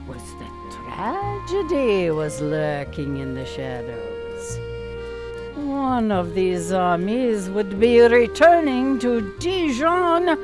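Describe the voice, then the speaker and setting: A woman's voice: medium pitch, low-quality recording. A woman narrating a radio play in a theatrical storytelling style, savoring each descriptive word. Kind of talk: dramatic scripted storytelling for radio. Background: music.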